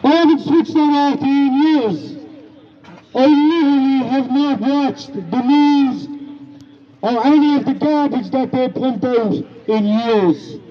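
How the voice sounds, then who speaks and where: An Irish man speaks at an outdoor rally with a big, carrying voice pitched unusually high. He delivers his points slowly and emphatically, repeating himself with indignation. The sound is somewhat dull and muffled. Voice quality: big loud voice. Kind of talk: outdoor protest speech.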